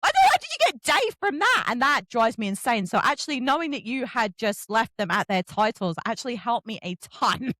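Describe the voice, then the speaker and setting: A woman's voice: on the high side, big pitch swings, brisk, fairly bright tone, dry recording. A woman from Kent, chatting casually and effusively as she hosts an interview, often joking. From Kent, England. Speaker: book podcast host interviewing an author.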